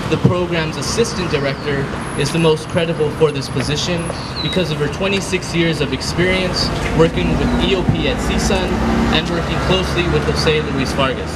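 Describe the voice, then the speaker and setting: A man's voice: fairly high pitch, very noisy. A man reads a prepared public address in a formal, earnest manner, speaking for a group.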